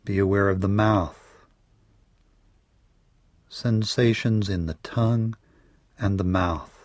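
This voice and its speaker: Slow, low-voiced man guiding meditation. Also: no room sound. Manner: measured, soothing delivery with phrases trailing off softly. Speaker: meditation guide. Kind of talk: guided yoga nidra meditation.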